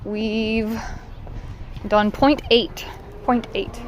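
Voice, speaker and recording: A woman's voice: on the high side, fairly even intonation, drawn-out words, a little hiss or hum. A woman with a Southern Californian accent, chatting casually and candidly to livestream viewers, swearing now and then. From Southern California, United States. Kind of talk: casual unscripted livestream chat. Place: outdoors while walking.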